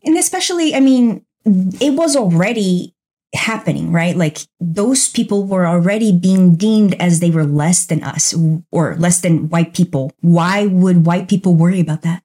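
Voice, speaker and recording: A woman from Brazil co-hosting a casual podcast, reflective and animated, with a husky edge to her voice. Her tone is mellow and low, and she leans on easy conversational fillers. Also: moderate pace. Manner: reflective, animated, with frequent fillers like "you know". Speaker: podcast co-host.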